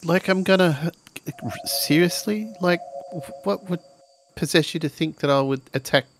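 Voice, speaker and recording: A man's voice: fairly high pitch, normal speed, a little hiss or hum. A man improvising casually as a player in a tabletop roleplaying game.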